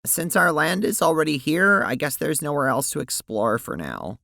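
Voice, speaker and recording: A woman playing an anguished teenage girl and her timid double in an audio drama, speaking haltingly. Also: sits low, sing-song, gravelly, fairly bright tone, no echo, clean studio sound, full character voice. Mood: sullen, resigned. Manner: stammering, halting. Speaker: voice actor.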